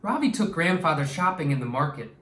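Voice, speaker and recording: A man reading aloud to children as a teacher, with an expressive, deliberate delivery that draws out words. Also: on the high side. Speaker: storytime reader and teacher. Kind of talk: read-aloud storytime for children. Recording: echoey room, distant mic.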